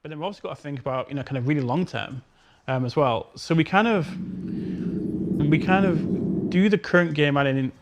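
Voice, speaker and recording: A man's voice: on the high side, brisk, a little hiss or hum. A man giving an unscripted interview answer as a game developer, explaining in a hedging way and often repeating "you know".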